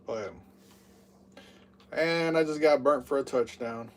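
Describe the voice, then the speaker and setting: A man's voice: medium pitch, takes it slowly, distant mic, a little hiss or hum. A man in his thirties hosting a live game stream, rambling with lots of fillers and hyped play-by-play. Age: 30s.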